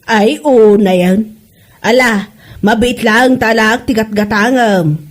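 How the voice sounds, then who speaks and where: Woman playing gossipy neighbour for village comedy drama, Ilocano accent, higher register, teasing and talkative. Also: takes it slowly. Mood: sympathetic.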